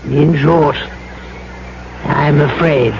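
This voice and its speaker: An actor playing a duplicitous colonial trader in an old radio drama, clubby and falsely apologetic in a deliberate manner. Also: male voice, on the high side, steady tone, vocal fry, exaggerated voice. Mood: falsely regretful. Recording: muffled old radio broadcast, faint noise.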